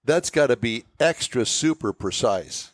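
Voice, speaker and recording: A man's voice: mid-range voice, expressive, unhurried, no room sound. A man hosting a car show in casual chat, folksy and curious, readily sounding amazed.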